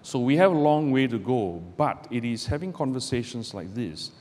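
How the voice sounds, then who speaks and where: A middle-aged man from Malaysia, a barrister giving a measured, deliberate public talk to students from a podium in a lecture hall. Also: mid-range voice, lively intonation, a little mellow. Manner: measured, deliberate delivery with pauses. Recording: roomy hall with long reverb, faint background noise.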